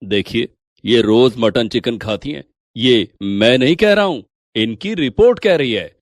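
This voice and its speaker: A man playing a calm, authoritative doctor in a story, speaking in a matter-of-fact, measured manner. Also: medium pitch, no echo, clean studio sound, lightly acted. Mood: firm.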